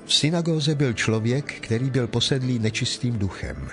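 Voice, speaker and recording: A man narrating scripture from a script in a measured, formal manner. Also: medium pitch, expressive, soft background music.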